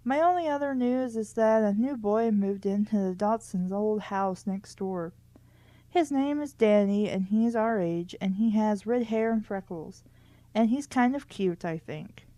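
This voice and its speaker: A woman's voice: medium pitch, unhurried, warm tone, dry recording, natural voice. A woman reading as a bored, chatty twelve-year-old girl who complains dramatically in her letters, in a storybook read-aloud.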